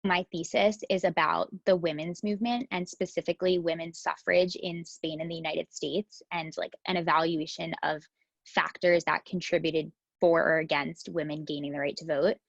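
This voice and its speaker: A woman's voice: sits low, steady tone, moderate pace, creaky, no echo. A young woman, a university student, casually describing her thesis with frequent fillers, in a dull, lo-fi recording. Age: young adult. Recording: dull, lo-fi and dry.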